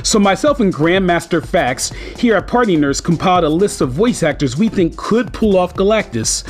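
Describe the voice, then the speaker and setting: A man with a fairly high-pitched voice narrates as the host of an online video. He speaks to fans informally and with emphasis, over light background music. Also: lively intonation, normal speed. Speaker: YouTube host. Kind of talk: scripted narration for an entertainment video.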